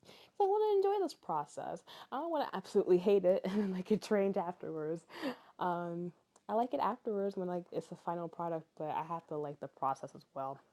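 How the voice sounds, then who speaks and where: A woman's voice: lowish voice, very animated, a little fast, warm tone, dull audio. A young woman in her twenties, tired, narrating her vlog in a chatty, rambling way with many fillers. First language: English. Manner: chatty, rambling, frequent fillers and little laughs. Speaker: vlogger. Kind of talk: casual voice-over narration.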